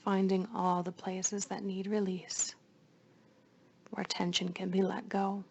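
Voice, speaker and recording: A woman leading a guided meditation in a soothing, hushed voice, with phrases often trailing into breath. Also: medium pitch, level tone, slow pace, creaky, slightly rough.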